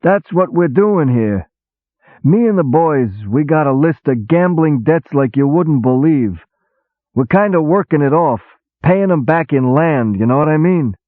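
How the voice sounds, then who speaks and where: A man's voice: fairly high pitch, a little slow, no echo, voice pushed up. A man voicing a brash, sarcastic army boss who talks like a streetwise gangster. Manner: sarcastic, excitable, shouting, streetwise gangster talk. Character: loud brash middle-aged male army boss. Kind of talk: audiobook character voice. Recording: tinny phone-like sound.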